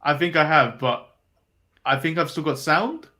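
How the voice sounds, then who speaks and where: Male co-host, fairly high voice, easygoing recapper, hesitant and amused. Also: expressive, a little slow, bright, forceful tone. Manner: recaps from notes, hesitant fillers, laughs. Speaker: podcast co-host. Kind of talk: casual wrestling review chat.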